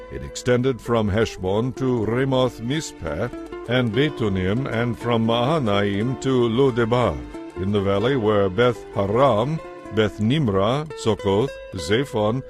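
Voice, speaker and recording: Low-pitched male scripture narrator over music. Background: background music.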